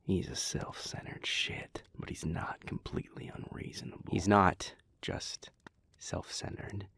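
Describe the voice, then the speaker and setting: A man voicing an easygoing cowboy vampire in an audio roleplay, blunt and steady, talking close to the mic. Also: low-pitched, measured pace, gravelly, whispered, heavily acted. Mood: frank. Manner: laid-back, frank, calming.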